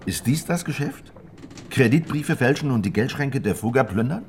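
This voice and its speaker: An actor playing a wary, probing revolutionary in a historical German radio drama, measured and sceptical, as if thinking aloud. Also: male voice, mid-range voice, animated, a little mellow, slight background noise, natural voice.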